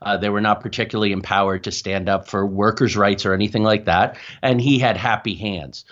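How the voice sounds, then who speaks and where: A man's voice: fairly low pitch, fairly even intonation, fairly quick, a bit bright, dull audio. A man speaking as an interview guest, explaining and telling stories in a conversational way. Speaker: interview guest, paranormal researcher.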